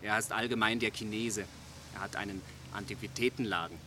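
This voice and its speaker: An actor playing an exasperated, sardonic young student under suspicion in a German radio play, impatient and ironic. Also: male voice, fairly low pitch, steady tone, a little fast, noisy background, lightly acted. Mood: guarded, curious.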